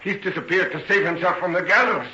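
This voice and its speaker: An actor in an old-time radio drama playing a frail, proud, embittered old farmer, halting and indignant, with a creaky voice. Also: male voice, sits high, bright, forceful tone. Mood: bitter, agitated. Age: older. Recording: dull, echoey old radio recording, faint noise.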